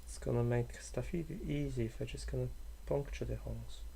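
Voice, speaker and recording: Guy thinking out loud while building something, gravelly low-key voice, slightly broken english. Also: fairly even intonation, a little fast, a little hiss or hum. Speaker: maker streamer. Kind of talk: casual live-stream chat while building.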